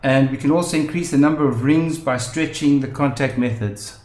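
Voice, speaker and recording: A man presenting a software tutorial, explaining methodically step by step. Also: mid-range voice, normal speed. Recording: roomy, echoing voice-over.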